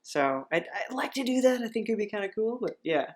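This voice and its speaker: A woman's voice: mid-range voice, sing-song, brisk. A woman hosting a podcast, speaking in a casual, chatty style. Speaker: podcast host and writer.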